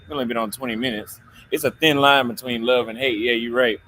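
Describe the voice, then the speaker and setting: A man's voice: medium pitch, fairly even intonation, quick pace, bright, forceful tone, slight background noise. A man hosting a casual livestream chat outdoors, laid-back and joking, with plenty of swearing. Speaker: livestream host.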